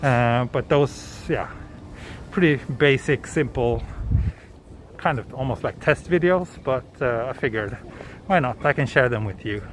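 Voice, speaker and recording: A man from southern Sweden chatting casually with viewers during a live walk, relaxed, with many ums and restarts. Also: mid-range voice, unhurried. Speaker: live-streaming walk vlogger. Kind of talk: casual live-stream chat answering viewers. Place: outdoors on city streets at night. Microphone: handheld phone camera. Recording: street noise behind the voice. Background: traffic and street ambience.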